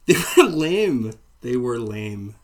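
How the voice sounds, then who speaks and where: Man, podcast cohost, middle pitch, casual, profane, deadpan humor. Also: slow. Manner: loose, profane, deadpan joking. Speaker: podcast co-host.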